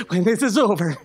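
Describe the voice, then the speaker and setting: A woman playing a panicked, cursing rookie space captain in gut distress, groaning through a staged sci-fi comedy drama. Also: mid-range voice. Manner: groaning, strained, outraged. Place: theatre stage, live performance. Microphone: stand microphone.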